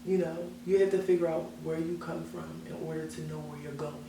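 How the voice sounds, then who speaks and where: A woman's voice: sits low, fairly even intonation, fairly quick, vocal fry. A young woman singer as an interview guest, talking about herself in earnest, self-assured casual chat. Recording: echoey room, mic at a distance, background hum.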